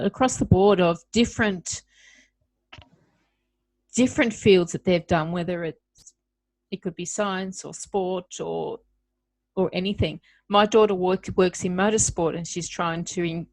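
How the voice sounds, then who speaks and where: A woman's voice: medium pitch, darkish tone, no echo, rough recording. A middle-aged woman hosting a podcast interview, talking in a reflective, conversational way. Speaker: podcast host.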